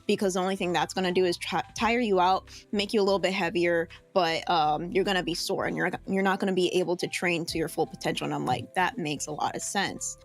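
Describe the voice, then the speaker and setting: A woman's voice: on the low side, steady tone, quick pace, hardly any pauses, faint music behind. A young adult woman co-hosting a podcast, chatting casually with lots of filler words. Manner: chatty, lots of ums and likes. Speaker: podcast co-host. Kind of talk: casual chat.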